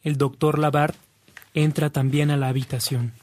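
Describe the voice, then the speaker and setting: Even-toned male radio drama narrator. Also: a little high, moderate pace. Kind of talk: scripted narration.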